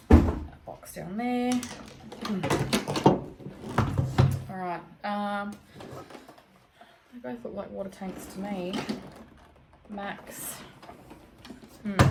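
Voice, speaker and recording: A woman with an Australian accent, croaky from allergies, chatting casually as she works through a DIY cleaning job at home. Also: medium pitch, heavy background noise. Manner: thinking aloud, chatty, self-correcting. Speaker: homestead vlogger. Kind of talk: casual DIY vlog chat. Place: home, indoors.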